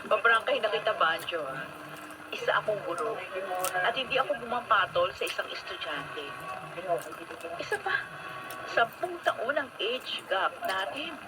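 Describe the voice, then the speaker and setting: A woman's voice: medium pitch, sing-song, measured pace, pauses often. An actress with a Filipino accent playing a caring, candid and earnest female teacher in a radio drama. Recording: very noisy radio broadcast.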